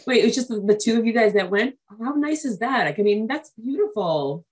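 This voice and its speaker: A woman's voice: on the high side, expressive, brisk, a little mellow. A woman hosting a podcast interview, chatty and effusive, with lots of fillers. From United States, Haitian family. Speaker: podcast host. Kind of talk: casual interview chat.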